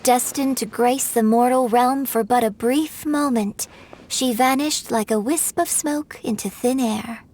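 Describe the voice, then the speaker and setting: A woman voicing a graceful young opera singer in a video game, telling an old legend in a poised, measured way. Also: a little high, darkish tone, slight background noise, close to an everyday voice.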